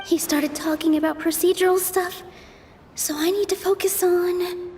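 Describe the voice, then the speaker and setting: A very high, youthful voice for a game heroine: a gentle young dancer who argues with earnest determination. She speaks in careful, articulate phrases. Faint ambience sits behind her. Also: fairly even intonation, normal speed, slight background noise. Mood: thinking to herself. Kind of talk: English game voice acting.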